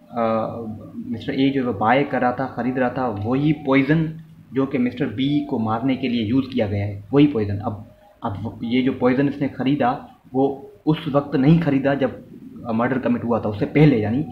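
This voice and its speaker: A man's voice: mid-range voice, slight background noise. A man teaching a law lesson, mixing English legal terms into his Hindi-Urdu and often checking in with "theek hai". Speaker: law lecturer. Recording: echoey room, slightly dull lo-fi sound.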